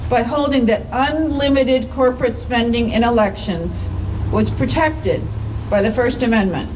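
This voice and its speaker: A woman with a somewhat high-pitched voice reads formal letters out loud in public. Her phrasing is measured and deliberate, with clear emphasis. The microphone sits away from her, and noticeable ambient noise runs behind the voice. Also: recorded from a distance. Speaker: activist reading statements aloud at a public event.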